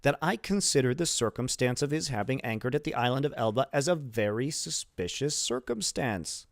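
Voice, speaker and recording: Scheming male ship's officer for a classic storybook, voiced by a male reader: insinuating, measured, animated pitch, close dry sound. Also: dry recording, close to an everyday voice. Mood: oily, deferential. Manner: insinuating, measured, formally worded. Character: scheming, smooth-tongued, self-serving male ship's officer.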